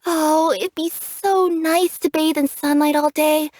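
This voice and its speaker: Game role: young cat yokai delivery girl, high-pitched, bubbly, curious and earnest, very dry close studio sound. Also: fairly even intonation, a little slow, a little mellow, dry recording. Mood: curious, chatty. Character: cheerful young female cat yokai package courier. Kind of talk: English video game character voice acting.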